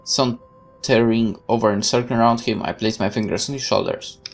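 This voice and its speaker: A woman with a strikingly deep voice reads story narration from a visual novel aloud as a let's play host. She drops in asides of her own, with faint music playing behind her. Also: moderate pace.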